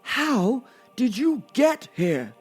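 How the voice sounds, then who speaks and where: Man playing a high-pitched, pompous, indignant archangel. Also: big pitch swings, breathy edge, faint music behind, heavily acted. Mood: incredulous, imperious. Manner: pompous, halting with outrage, grandly declaiming. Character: Archangel Gabriel, pompous, wounded, indignant male angel.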